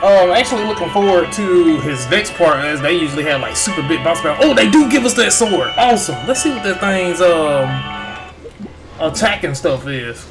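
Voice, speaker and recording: A man's voice: high-pitched, expressive, normal speed, rough recording. A man giving casual, slangy commentary on a video game as he plays. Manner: slangy, informal. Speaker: gaming streamer. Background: faint game music.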